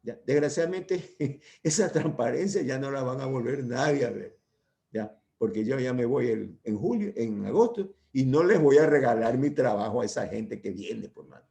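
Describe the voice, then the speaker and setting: A man's voice: mid-range voice, expressive, normal speed, creaky, mellow. An older man giving an informal university medical lecture, rambling and hesitant, often checking in with his students. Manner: rambling, hesitant, full of "¿ya?" and "¿no?" tags.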